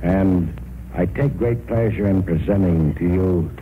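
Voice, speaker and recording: A man's voice: deep voice, measured pace. A man hosting an old-time variety radio show with a folksy, coaxing, avuncular manner. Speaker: radio show host introducing and interviewing a performer. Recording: narrow, noisy vintage radio recording.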